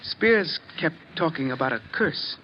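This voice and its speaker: A man's voice: fairly high pitch, expressive, measured pace, a little hiss or hum. An actor playing a fearful, brooding man convinced he is doomed, speaking in an ominous, melodramatic 1940s radio style. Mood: uneasy. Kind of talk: 1940s radio mystery acting. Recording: muffled 1940s radio recording.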